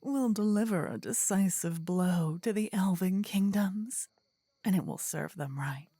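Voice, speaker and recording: A woman's voice: mid-range voice, warm tone, no echo, studio quality. A woman voicing a possessive, seductive and bloodthirsty demon queen in a fantasy audio roleplay, with a whispery, intimate delivery. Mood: eager, seductive. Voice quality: breathy, whispery. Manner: slow, sultry.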